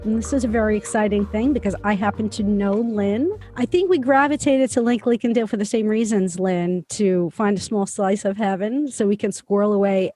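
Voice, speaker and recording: A woman's voice: medium pitch, moderate pace, few pauses, mellow, soft background music. A woman hosting a podcast, presenting introductions and putting questions to a guest. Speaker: podcast host and interviewer. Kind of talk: scripted podcast introduction and interview.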